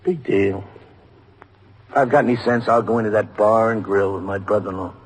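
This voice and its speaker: A man's voice: lowish voice, fairly even intonation, brisk, husky. An actor playing a bitter, grumbling policeman and family man with an American accent in a vintage radio drama. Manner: grumbling, sardonic. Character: bitter, weary policeman, a married man with kids. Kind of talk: 1950s radio drama acting. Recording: noisy vintage radio recording.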